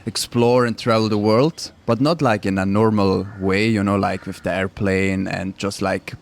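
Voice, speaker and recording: A man's voice: fairly low pitch, moderate pace, creaky, a little hiss or hum. A young man from Switzerland with Croatian roots, a non-native English speaker, being interviewed on radio and laughing easily. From Switzerland, Croatian family. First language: not English. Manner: relaxed, laughs often, simple non-native phrasing. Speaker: guest cyclist.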